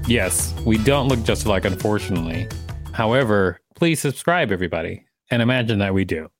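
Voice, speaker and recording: A man's voice: fairly low pitch, lively intonation, fairly quick, faint music behind. A man hosting a podcast, reading an article aloud and adding casual commentary. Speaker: podcast host.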